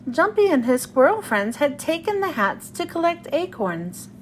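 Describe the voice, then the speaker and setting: High-pitched, animated woman reading a storybook. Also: normal speed, slight background noise. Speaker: host reading children's books aloud. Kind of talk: storybook read-aloud for children.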